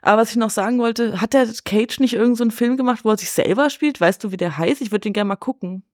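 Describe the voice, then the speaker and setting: A woman's voice: fairly high pitch, fast, darkish tone, no echo, clean studio sound. A woman chatting casually about films as a podcast guest, colloquial and often ending sentences on question tags.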